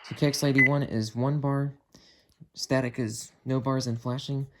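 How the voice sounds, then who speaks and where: A man narrates a hands-on demonstration in a casual, unhurried way, thinking aloud as he tests things. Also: mid-range voice, little pitch movement, very noisy. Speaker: video creator demonstrating a weather radio.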